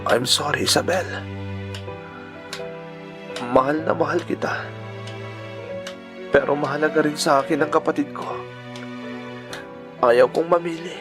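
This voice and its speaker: A man's voice: fairly high pitch, fairly even intonation, measured pace, pauses often, music in the background, lightly acted. A man with a Filipino accent acting an earnest, self-sacrificing young lover and devoted brother in a sentimental Tagalog radio drama. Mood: sad, resigned. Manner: earnest, sentimental delivery, mixing in English phrases.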